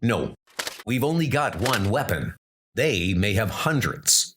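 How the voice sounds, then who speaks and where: A man playing a resolute ex-soldier who leads armored knights, speaking in a measured, commanding manner for a comic-book audio drama. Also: on the low side, very animated, background noise, pitched below the performer's own voice. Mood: measured, grave. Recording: music under the voice.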